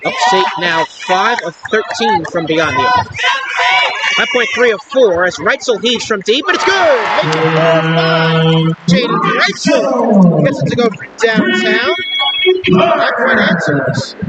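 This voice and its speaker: A man giving excitable live play-by-play radio commentary of a basketball game, calling out each play. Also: high voice, slow. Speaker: play-by-play announcer. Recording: very loud arena crowd noise. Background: basketball game crowd and bouncing ball.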